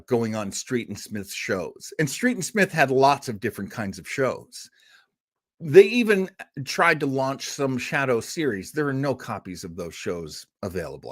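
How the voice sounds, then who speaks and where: A man in the host's seat, chatting about old radio with an animated, lively lilt. He rambles with fillers and asides, but he stays warm and engaged. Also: mid-range voice. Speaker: podcast host. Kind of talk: enthusiastic casual history talk.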